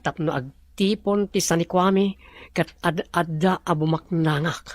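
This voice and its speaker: A man's voice: on the high side, unhurried, no room sound, lightly acted. A man with an Ilocano accent playing a scheming, money-hungry boss in a radio drama. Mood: smug, calculating.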